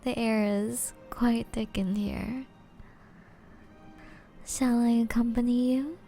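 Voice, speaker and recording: Casting: actress as seductive aristocratic vampire woman for ASMR roleplay; mellow, unhurried, breathy and refined, music underneath. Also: on the high side, fairly even intonation. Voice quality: soft, breathy, often whispery. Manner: refined, formal, teasing, slow and deliberate.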